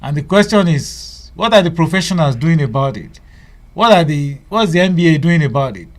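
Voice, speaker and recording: A man's voice: a little high, animated, normal speed. A male radio host talking casually, with many fillers and restarts.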